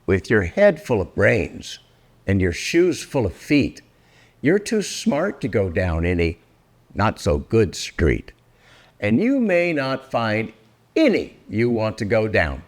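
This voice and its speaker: An older man performing a children's story aloud in a theatrical, deliberate, welcoming manner. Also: fairly low pitch, huge pitch swings. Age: 60s or older. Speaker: storyteller and host.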